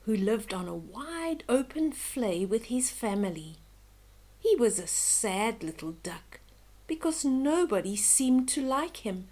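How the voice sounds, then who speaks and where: An older woman reading a children's story aloud slowly and expressively, with gentle chatty asides to young listeners. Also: a little high.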